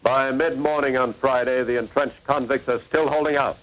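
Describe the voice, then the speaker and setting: An actor playing the grave, urgent narrator of a 1940s radio crime drama, in formal scripted delivery. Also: male voice, medium pitch, steady tone, normal speed, fairly bright tone, close to an everyday voice, poor audio. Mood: urgent, terse. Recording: old radio broadcast recording.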